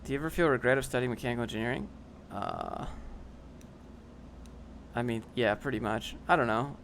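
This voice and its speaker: A man's voice: mid-range voice, brisk, creaky, a bit bright, slight background noise. A young man casually reading and answering chat on a livestream, dry and sarcastic, often rambling.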